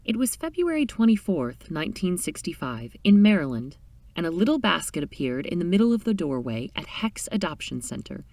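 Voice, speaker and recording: A woman reading a short story aloud in an expressive, dramatic storytelling style. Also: lowish voice, brisk, no echo, recorded from a distance. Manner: animated, dramatic reading with character voices.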